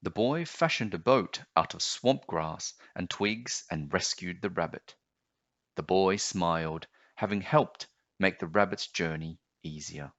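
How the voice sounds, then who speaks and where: A man narrating a children's storybook aloud in a measured, expressive storytelling manner. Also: low voice, no echo, muffled recording.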